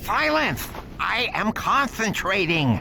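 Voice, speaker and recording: A man's voice: sits high, sing-song, slow pace, creaky, bright, forceful tone, slight background noise, full character voice. An actor playing a pompous, touchy nerd repairman in a video game, talking in a pedantic, indignant way. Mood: haughty, irritated. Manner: pedantic, jargon-heavy, indignant. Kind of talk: video game cutscene acting.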